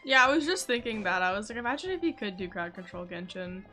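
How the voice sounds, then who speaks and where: A woman's voice: medium pitch, very animated, fast, sharp tone. A woman game streamer giving casual, playful, teasing commentary during a live stream. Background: game music.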